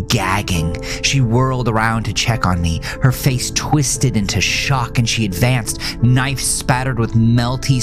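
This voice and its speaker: A man narrating a scripted first-person horror story in a brooding, deliberate, dramatic manner. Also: lowish voice. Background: music.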